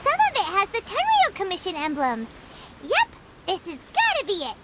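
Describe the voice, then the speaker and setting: A woman's voice: normal speed, heavy background noise, full character voice. A woman voicing an eager young female adventurer in a video game, speaking earnestly. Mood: curious. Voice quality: high, lively, animated voice. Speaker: game character. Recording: game audio with loud music.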